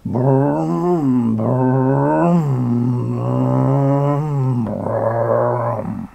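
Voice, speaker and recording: Guy reading a kids picture book real slow, gravelly and kinda husky. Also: mid-range voice. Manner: slow and expressive, comments on pictures. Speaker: storybook reader. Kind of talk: children's picture book read-aloud. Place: indoors at a table.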